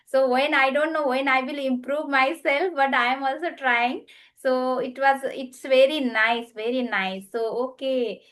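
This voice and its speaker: Halting woman, high voice. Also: fairly even intonation, a bit bright. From Nepal. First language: Nepali. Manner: halting non-native English, frequent restarts. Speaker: guest English learner. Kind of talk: casual video call chat. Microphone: video call.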